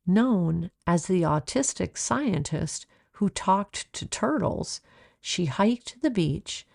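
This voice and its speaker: A woman narrating a children's storybook in a measured, expressive way, like an author reading aloud. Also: fairly low pitch, creaky, dry recording, clean studio sound.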